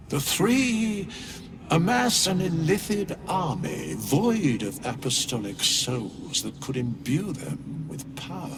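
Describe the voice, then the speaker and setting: A man voicing an ancient, cold undead sage in a video game, with a gravelly voice and portentous, detached, archaic delivery. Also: fairly high pitch, noisy background, full character voice. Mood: probing, solemn. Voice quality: gravelly, creaky. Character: ancient, cold, solemn undead male sage of cosmic balance. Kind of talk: video game voice acting, archaic oracular speech.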